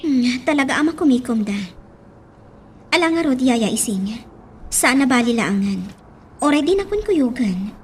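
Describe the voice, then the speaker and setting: A woman's voice: high-pitched, expressive, normal speed, pauses often. A woman acting a headstrong young daughter in a radio drama. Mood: sulky, defiant. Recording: faint background noise.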